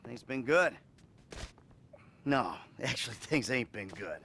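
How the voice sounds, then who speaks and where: A man's voice: mid-range voice, expressive, slow pace, creaky, sharp tone, slight background noise, heavily acted. An actor playing a troubled middle-aged American criminal confessing to his therapist, hesitant, sighing and sardonic, in a naturalistic video game style. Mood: agitated, bitter.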